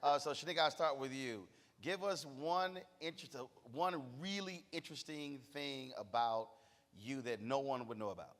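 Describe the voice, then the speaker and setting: A man's voice: mid-range voice, normal speed, a bit bright. A man moderating a panel on stage, talking loosely and teasing the guests with an easy Southern drawl. His voice sits in the middle of the male range and rings out through a big reverberant hall. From Texas.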